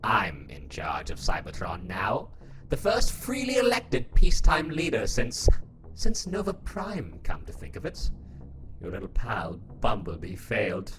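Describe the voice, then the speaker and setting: Casting: smug scheming robot ruler played by a man, sardonic and theatrical, lively swooping delivery, music underneath. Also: lowish voice, measured pace. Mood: gloating. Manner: theatrical, sardonic, mock-casual. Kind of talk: scripted fan dub of a comic.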